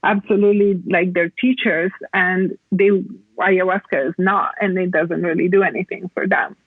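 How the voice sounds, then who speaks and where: A woman from Italy, explaining reflectively as a podcast guest in a halting, conversational way over a remote call. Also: lowish voice, steady tone, breathy edge, no echo, narrow, tinny audio. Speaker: podcast guest, plant medicine practitioner.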